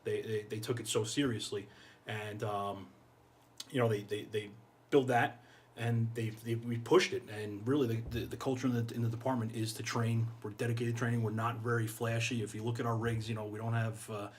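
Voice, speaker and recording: A man's voice: lowish voice, level tone, a little fast. A middle-aged American man speaking casually and earnestly as an interview guest, repeating phrases for emphasis. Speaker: guest, fire chief. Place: podcast studio, indoors. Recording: clear background noise, room ambience.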